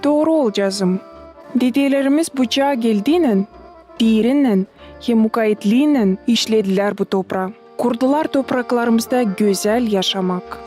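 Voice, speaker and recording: A woman voicing a patient mother who tells history to children in an animated cartoon, in a measured storytelling style. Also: fairly high pitch, faint music behind, lightly acted. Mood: patient, instructive.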